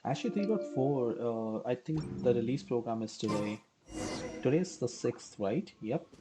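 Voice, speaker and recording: A man's voice: mid-range voice, expressive, moderate pace, background noise. A man streaming a game live with casual commentary, musing aloud in a hesitant way. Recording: game music behind the voice.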